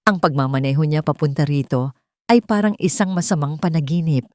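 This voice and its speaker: A woman with a Filipino accent narrating a scripted love story in a measured, sentimental way. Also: deep voice, darkish tone, no room sound, studio quality. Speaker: narrator of audio love stories.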